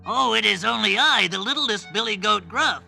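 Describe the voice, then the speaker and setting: Man doing a tiny, high little billy goat. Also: lively intonation, moderate pace, creaky, pushed and bright, faint music behind, exaggerated voice. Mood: timid pleading. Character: little young male billy goat, timid and pleading. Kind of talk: children's storybook character voice.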